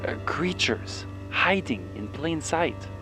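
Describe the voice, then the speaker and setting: Male scientist played by an actor, wondering and halting, recounting a discovery as testimony for a horror series, music underneath. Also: fairly high pitch, animated. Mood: awed. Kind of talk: dramatic recorded testimony acting.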